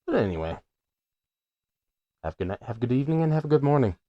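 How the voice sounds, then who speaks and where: A man's voice: medium pitch, big pitch swings, fast, dry recording, lo-fi sound. A man hosting a let's play, giving casual, musing commentary as he goes.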